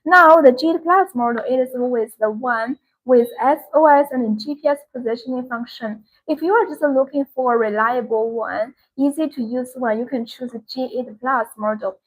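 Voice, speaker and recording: A woman's voice: sits high, normal speed, few pauses, poor audio. A woman giving a live-stream sales demonstration in an instructional, repetitive way. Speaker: live-stream product seller.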